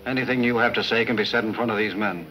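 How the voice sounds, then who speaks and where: An actor playing a cold, calculating gang boss in a 1940s film serial, speaking in a measured, formal, commanding way. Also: male voice, on the low side, steady tone, sharp tone, slight background noise, poor audio. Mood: coldly menacing. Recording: 1940s film soundtrack with music behind.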